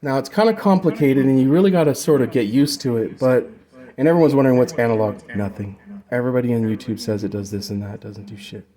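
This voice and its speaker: A man's voice: medium pitch, fast talker, hardly any pauses, mellow. Male presenter, informal and rambling, for a casual hands-on gear demo. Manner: informal, rambling, trails off mid-explanation. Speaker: presenter demonstrating a vocal effects unit.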